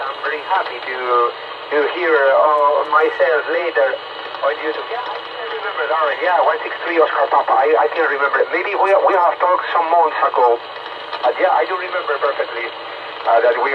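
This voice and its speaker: A man's voice: a little high, moderate pace. Man over noisy muffled radio. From Spain. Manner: hesitant, courteous, repeats words. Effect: two-way radio transmission. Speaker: amateur radio operator. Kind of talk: casual technical radio chat.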